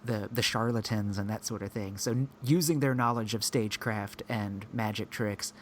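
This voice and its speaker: A man co-hosting a podcast, talking informally and a little haltingly in casual discussion. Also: lowish voice, steady tone, a little hiss or hum. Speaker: podcast co-host.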